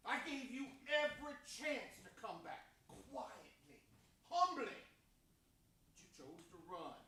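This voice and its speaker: A man playing a stern, self-righteous church pastor in a staged reading, speaking in an emphatic, deliberate, preachy way. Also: very high voice, big pitch swings, sharp tone, full character voice. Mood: stern, condemning. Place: large echoey room, live staged reading. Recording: echoey hall, distant mic, faint noise.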